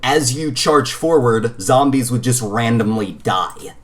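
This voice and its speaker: A man with a mid-pitched voice talks as a podcast co-host in a loose, casual gaming chat. He rambles through his opinions and swears offhandedly as he goes. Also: animated, unhurried.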